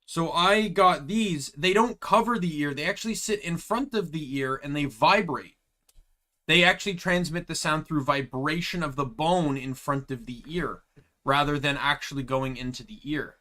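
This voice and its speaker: Young male streamer, loud and bright, casual rambling chat. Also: fairly high pitch, normal speed. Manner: chatty, rambling asides. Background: game music.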